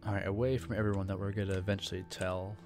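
A man's voice: low voice, steady tone, quick pace, vocal fry, over music. A man giving casual, laid-back gameplay commentary, often muttering to himself. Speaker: gaming streamer, let's player. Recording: background noise, keyboard clatter.

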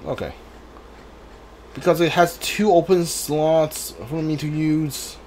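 A gamer giving casual playthrough commentary, thinking aloud in halting fragments over a game. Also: mid-range voice, fairly even intonation, darkish tone, a little hiss or hum, poor audio. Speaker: gamer, streamer. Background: game music.

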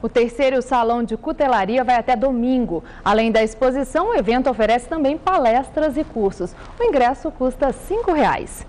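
A young Brazilian woman reads the news as a studio anchor. Her voice is high and her intonation rises and falls in a lively way. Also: moderate pace, hardly any pauses. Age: young adult. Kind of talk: scripted news reading.

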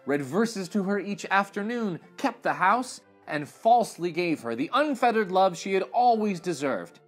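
A man in his thirties reading a fairytale aloud to children in expressive narration. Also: sits high. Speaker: children's story reader. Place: small furnished room indoors.

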